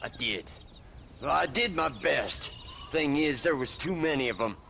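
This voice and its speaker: An actor playing a shifty old drunkard with a gravelly American Western voice, whining defensively in a video game cutscene. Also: male voice, fairly high pitch, animated, unhurried, pushed and bright, slight background noise, exaggerated voice. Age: older. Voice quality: gravelly, creaky. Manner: defensive, wheedling. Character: whiny, shifty old male drunkard ranch hand.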